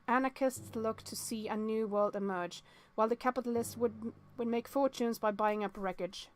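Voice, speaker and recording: A woman with a fairly high voice hosts a story-game let's-play. She reads on-screen text aloud and breaks in with expressive exclamations, stumbling over the odd word, with music playing along. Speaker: host playing and reacting to a visual novel.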